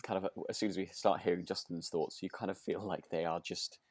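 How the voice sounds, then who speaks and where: Male podcast co-host, low-pitched, hesitant and agreeable, casual conversation, dry close sound. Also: fairly even intonation, a little fast, darkish tone, no echo. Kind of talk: casual chat about a radio drama.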